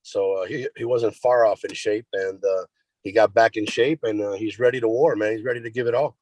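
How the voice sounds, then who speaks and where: Low-voiced man translating casually. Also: steady tone, fairly quick, a little mellow, dry recording, rough recording. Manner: colloquial, informal. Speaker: boxer's representative and translator. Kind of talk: casual interpreting on a media call. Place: remote media conference call.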